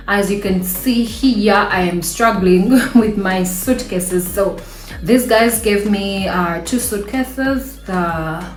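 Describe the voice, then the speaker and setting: A woman's voice: medium pitch, roomy sound. Effusive Ugandan-born woman vlogging from her bedroom. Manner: effusive, repeats words for emphasis, laughs often. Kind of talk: casual direct-to-camera vlog.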